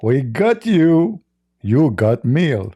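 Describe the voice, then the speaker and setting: Male host, non-native English, sarcastic and laughing, warm mellow tone. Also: mid-range voice, big pitch swings, drawn-out words. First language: not English. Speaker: livestream host. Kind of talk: mocking commentary on video clips.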